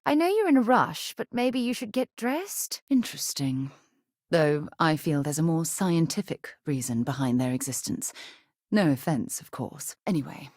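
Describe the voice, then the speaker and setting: Calm principled lady leader for a game, low voice, measured and articulate, dry studio sound. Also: dry recording. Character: composed, principled woman expedition leader. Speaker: video game character. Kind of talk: game voice lines. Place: voice recording booth. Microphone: studio microphone.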